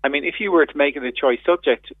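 A man's voice: fairly high pitch, fast, slightly rough, no room sound. An Irish man speaking as a radio guest by phone, earnest and explanatory, with many fillers and restarts. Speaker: radio guest, education advocate. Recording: tinny phone line.

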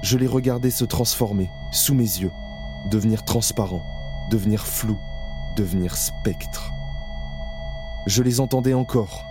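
An actor playing a haunted male survivor in an audio drama, speaking slowly and introspectively in the first person. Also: lowish voice, over music, natural voice. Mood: anxious. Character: haunted male camp survivor, numb and fearful.

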